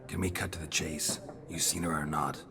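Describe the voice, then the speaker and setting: Very deep, terse monster hunter. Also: male voice, normal speed, a crowd in the background. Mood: guarded. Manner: terse, deadpan, laconic. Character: gruff, terse, world-weary monster-hunting witcher man. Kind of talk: video game voice acting.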